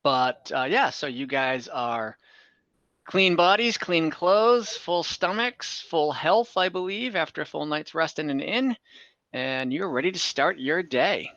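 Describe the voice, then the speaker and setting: Guy running a tabletop game, kinda hesitant and chatty, forward bright voice, muffled roomy audio. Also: a little high, lively intonation, measured pace. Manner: conversational, hesitant, self-correcting. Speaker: game master. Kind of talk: recapping and narrating a tabletop game, casual. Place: indoor room, online game session.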